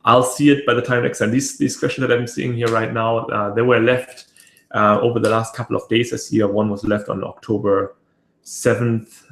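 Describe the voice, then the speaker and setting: A man with a raspy voice hosting a casual webinar, rambling with many fillers and restarts. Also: medium pitch, flat delivery, normal speed, slight background noise. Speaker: webinar host. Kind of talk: casual live webinar talk. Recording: echoey room.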